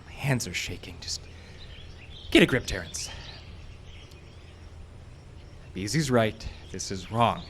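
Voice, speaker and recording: A man's voice: fairly low pitch, expressive, normal speed, fairly bright tone. A man playing a hapless, self-doubting filmmaker in a live audio drama, rambling in a jittery, self-mocking way. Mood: shaken. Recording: outdoor live stage, faint background noise.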